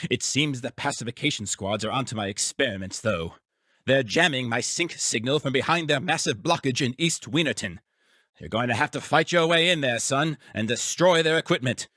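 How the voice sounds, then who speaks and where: An actor playing an eccentric, grandiose mad scientist in a video game, secretly villainous, with a theatrical, pompous, wordy manner. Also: male voice, mid-range voice, pushed and bright, no echo, studio quality. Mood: urgent, conspiratorial. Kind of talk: video game character voice acting.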